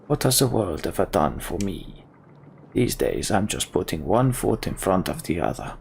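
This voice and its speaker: A man plays a bleak, world-weary sellsword from a fantasy game. His voice is heavily creaky and gravelly, rambling drily with resignation. There is some noise behind him. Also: sits low, very animated, moderate pace, a little mellow, voice pushed down, full character voice. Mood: bleak, resigned. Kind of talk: voiced dialogue in a fantasy role-playing game.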